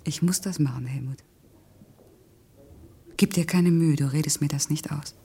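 A woman's voice: deep voice, moderate pace, mellow, husky, heavily acted. An actress playing a stubborn, self-doubting working woman in a naturalistic radio play, frank and wry in manner. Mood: earnest, determined.

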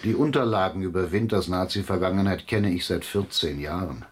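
An actor playing a smug, sardonic schemer in a naturalistic German radio drama, with a taunting manner. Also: male voice, sits low, brisk, slightly rough. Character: Nico, smug, sardonic, scheming man in a wheelchair.

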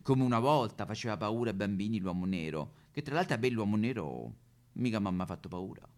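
Coy gossipy neighbour, swooping pitch. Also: male voice, animated, brisk, darkish tone. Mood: confiding, gossipy. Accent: Roman. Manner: coy, gossipy, with giggles and verbal tics. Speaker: solo stage performer. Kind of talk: theatrical comic character monologue.

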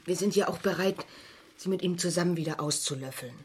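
An actress playing a level-headed, sceptical young lawyer in a German radio crime drama, matter-of-fact and quick to retort. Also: female voice, on the low side, noisy background, close to an everyday voice. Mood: loyal, insistent. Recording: vintage radio play studio recording.

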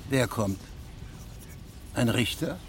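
An actor in a radio drama playing a stern judge who questions people with measured authority. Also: male voice, medium pitch, expressive, a little hiss or hum. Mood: probing. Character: stern, probing traveling judge of fifteen years' standing.

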